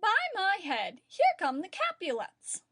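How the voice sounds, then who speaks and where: Earnest, cautious young friend played by a woman, extremely high voice, classical verse, close dry recording. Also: wildly swooping pitch, a little slow, pushed and bright, no echo, full character voice. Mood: wry. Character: cautious, peace-making young male friend. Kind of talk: Shakespeare audiobook dramatic reading.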